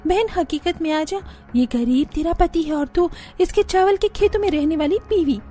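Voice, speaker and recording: Woman playing a high, drawling, snobbish rich girl. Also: moderate pace, dark tone, soft background music. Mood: mocking. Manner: drawling, mixes English phrases. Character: snobbish rich young woman, mocking and flashy.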